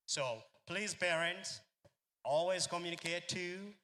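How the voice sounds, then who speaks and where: A man's voice: sits high, slow pace, pushed and bright. A man, speaking to a convention crowd in a rambling, storytelling way with many fillers. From Uganda. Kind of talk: informal speech to a crowd.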